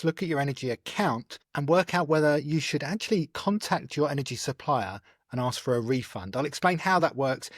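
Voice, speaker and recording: A man hosting a finance podcast, briskly introducing topics. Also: mid-range voice, no room sound, clean studio sound. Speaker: podcast host.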